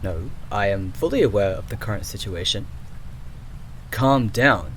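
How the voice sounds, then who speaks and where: A man's voice: lowish voice, animated, a little slow, a bit bright. Man acting an exasperated AI programmer, noisy backdrop. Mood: irritated, impatient. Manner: exasperated, sighing, sarcastic. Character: exasperated male creator and programmer of an archive AI. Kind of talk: audio roleplay acting.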